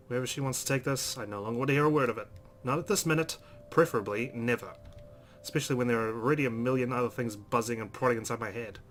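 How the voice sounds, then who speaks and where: A man with a mid-pitched voice reads a visual novel aloud as a let's play host. His delivery is expressive storytelling mixed with asides, recorded with faint noise and a low rumble. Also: quick pace.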